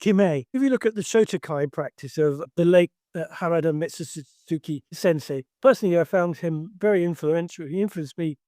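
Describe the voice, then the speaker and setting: A man's voice: a little high, normal speed, hardly any pauses, dark tone, no echo, studio quality. An older man who teaches karate and talks emphatically in a discussion, restarting his sentences often.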